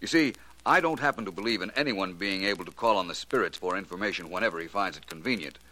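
An actor playing a suave, skeptical private investigator in a 1940s radio drama, with a crisp, formal, coolly ironic way of talking. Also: male voice, low-pitched, expressive, fast, bright, forceful tone. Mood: skeptical, firm. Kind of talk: 1940s radio detective acting. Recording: vintage 1940s radio recording.

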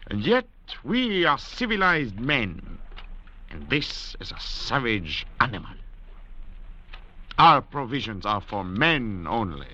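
A man acting in a 1940s radio drama as a cold, haughty French doctor and murderer, speaking in a measured, oratorical way on an old radio recording. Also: mid-range voice, big pitch swings, pushed and bright, heavily acted. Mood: haughty, disdainful. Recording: dull, noisy vintage radio recording.

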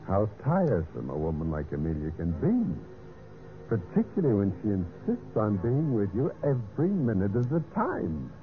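An actor playing a cold, scheming husband in a 1950s radio mystery, with a smooth, calculating manner. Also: male voice, mid-range voice. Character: scheming, cold husband narrating his own murderous plot. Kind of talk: 1950s radio mystery acting and first-person narration. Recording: muffled old radio broadcast with music.

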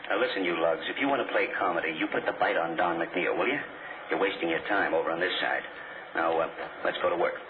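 An actor playing a brusque, impatient studio director in 1940s radio style, giving clipped, slangy commands. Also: male voice, sits low, fast talker, natural voice. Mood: brisk, bossy. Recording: noisy, tinny 1940s radio recording.

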